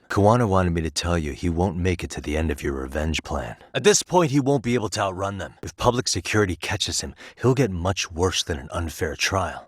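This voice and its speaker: A man's voice: sits low, husky, dry recording. A man with an American accent voicing an easygoing, principled detective in a video game, talking in a dry, wry way as he reasons things through. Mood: grave. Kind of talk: video game voice acting, conversational dialogue.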